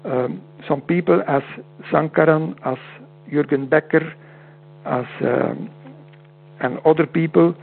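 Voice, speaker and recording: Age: unknown. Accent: Dutch. Sex: man. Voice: halting, raspy with fry, over a tinny line with hum. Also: fairly high pitch. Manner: halting, repeats words, non-native phrasing. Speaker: guest homeopath. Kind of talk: interview over a call.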